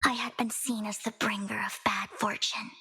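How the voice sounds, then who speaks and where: A woman voicing a soft-spoken, melancholy and devoted young woman in a video game, with a hushed, deliberate delivery. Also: medium pitch, little pitch movement, slightly rough, exaggerated voice. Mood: sorrowful, hushed.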